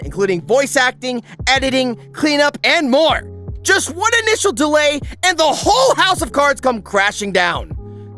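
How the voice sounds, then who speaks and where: High, bright-voiced video essayist, very lively, sarcastic and sweary, music underneath. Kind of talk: energetic scripted video essay narration with sponsor read. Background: background music.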